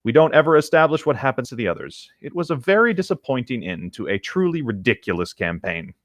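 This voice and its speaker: A man's voice: mid-range voice, sing-song, quick pace, no room sound, clean studio sound. A man casually reading a tabletop gaming story aloud as a host, in an informal, slightly exasperated way.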